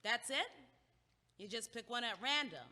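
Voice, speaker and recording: Woman acting as quirky female student, young, highish bright voice, argumentative, sardonic, audio-play delivery. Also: moderate pace. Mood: wry. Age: young adult. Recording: long echoing hallway reverb.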